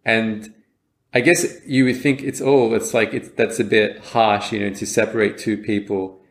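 A man's voice: on the low side, fairly even intonation, normal speed. A young man co-hosting a podcast, speaking in a reflective, casual way with frequent fillers and restarts. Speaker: podcast co-host. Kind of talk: casual chat.

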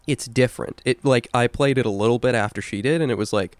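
Clear-voiced man chatting casually, close and dry. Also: medium pitch, quick pace, no room sound. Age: young adult. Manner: opinionated, swears casually. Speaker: podcast co-host. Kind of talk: casual chat about video games.

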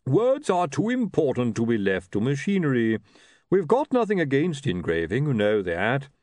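A man's voice: medium pitch, wildly swooping pitch, normal speed, darkish tone, dry recording, studio quality, pitched above the performer's own voice. A man voicing a blunt, blustering, impatient chief priest in a fantasy audiobook. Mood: indignant.

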